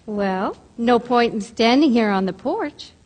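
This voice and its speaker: A spirited young small-town sweetheart in an old-time radio play. Her high voice is coy and unhurried, with lively rises and falls. It carries through a reverberant theater. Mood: coy, inviting. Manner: coy, pointed, deliberate. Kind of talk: old-time radio drama stage acting. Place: theater stage, live performance. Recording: echoey theater stage, hissy live recording.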